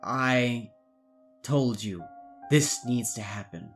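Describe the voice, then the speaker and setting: A man playing a tired, unwell, blunt man in story dialogue, speaking quietly and casually. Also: medium pitch, expressive, slow pace, faint music behind. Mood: insistent, tired.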